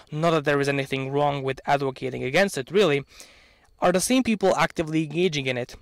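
A young man in his early twenties from Bosnia, a Serbian speaker, delivering a prepared opinion speech outdoors into a handheld microphone, earnest and measured with sardonic touches. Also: on the high side, no echo. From Bosnia and Herzegovina. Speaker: channel owner. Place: outdoors in an open field.

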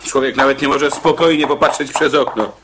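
A man acting a gruff, irritable prisoner on death row in a Polish radio play, grumbling sarcastically in colloquial speech. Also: mid-range voice, steady tone, a little fast, close to an everyday voice. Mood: irritated, mocking. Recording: noisy radio play recording with clicks.

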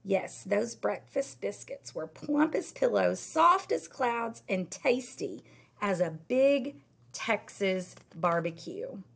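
Woman, middle-aged, teacher, animated storybook reading, swooping intonation, husky edge. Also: mid-range voice, takes it slowly. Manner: animated, emphatic storytelling for young children. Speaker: elementary teacher reading aloud. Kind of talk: expressive children's story read-aloud. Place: indoor school classroom.

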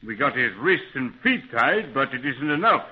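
An actor playing a plucky, good-natured Irish pilot in a 1930s radio serial, with a husky voice and a stage Irish accent. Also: male voice, medium pitch, sing-song, moderate pace. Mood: brisk, planning. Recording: tinny band-limited 1930s radio recording.

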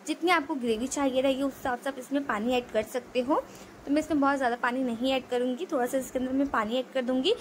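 A woman hosting a cooking channel, explaining a recipe step by step in a steady voice-over and often repeating her phrases. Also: sits high, a little hiss or hum.

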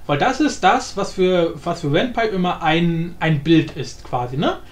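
A man hosting a casual, unscripted software tutorial, thinking aloud informally and repeating himself. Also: fairly high pitch, measured pace. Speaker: tutorial host. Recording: roomy echo with faint background noise.

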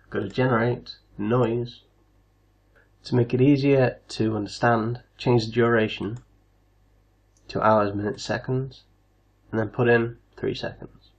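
A man hosting a casual software tutorial, explaining things step by step. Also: lowish voice, gravelly. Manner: step-by-step instructional. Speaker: tutorial host.

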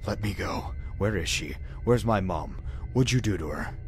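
A man voicing a frightened young child calling out in desperate, pleading shouts in a horror story reading. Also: deep voice, animated, normal speed, soft background music, pitched above the performer's own voice. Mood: furious, scared.

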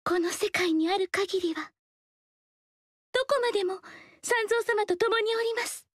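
An actress voicing a gentle, melancholy young female dancer who speaks softly and politely in a game. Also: extremely high pitch, a little slow, no echo. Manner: soft, polite and reserved. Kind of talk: game dialogue. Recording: dry studio voice.

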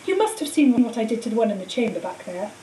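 A woman voicing a cold, treacherous male wizard teacher in an audiobook, speaking in a calm, menacing, matter-of-fact way. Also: fairly high pitch, fast talker. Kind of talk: audiobook character voice. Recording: roomy echo, distant mic, faint hiss.

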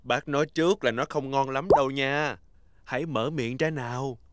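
Cartoon bear doctor, male actor: kindly, reassuring, medium pitch, dry studio sound. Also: fairly even intonation, moderate pace, no room sound, close to an everyday voice. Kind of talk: animated children's show dub.

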